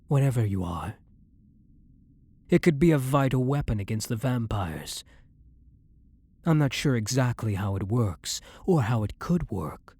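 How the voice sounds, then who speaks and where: A man's voice: medium pitch, a little mellow, breathy edge, full character voice. A man playing a blunt, guilt-ridden vampire hunter who is secretly a vampire, in an intimate ASMR audio roleplay monologue. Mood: persuasive, earnest.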